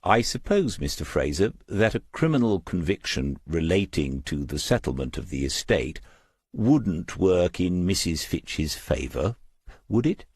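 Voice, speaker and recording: A man voicing a shrewd retired police detective in an audiobook, wry, understated and deliberate. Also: low voice, big pitch swings, a little mellow, breathy edge, dry recording, clean studio sound, full character voice. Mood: pointed.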